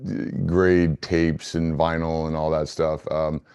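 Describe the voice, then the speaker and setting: Low voiced guy being interviewed, smooth and pretty flat, earnest, chatting thru his answers. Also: takes it slowly. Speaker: interview guest, business founder.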